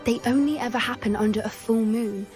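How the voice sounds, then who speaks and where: An actress playing an earnest young female student who loves magical creatures, speaking in a soft, thoughtful, sometimes hesitant way in video game dialogue. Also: a little high, a little fast, soft background music, close to an everyday voice. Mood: excited. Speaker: game character.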